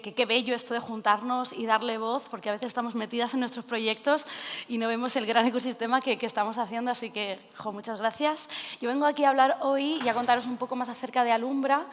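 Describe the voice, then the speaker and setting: A woman with an accent from Spain giving an informal conference talk in an echoey hall, conversational and halting, often adding tag questions. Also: a little high. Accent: Spanish from Spain. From Castilla-La Mancha, Spain. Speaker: speaker presenting a cultural project. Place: forum hall. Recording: echoey hall with long reverb.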